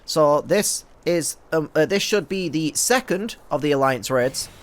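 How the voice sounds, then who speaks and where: A man's voice: on the high side, expressive, a little slow. Stuffy-nosed man doing game commentary. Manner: rambling, jokey, self-mocking. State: stuffy, blocked nose. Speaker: gaming video creator. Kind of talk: let's play commentary.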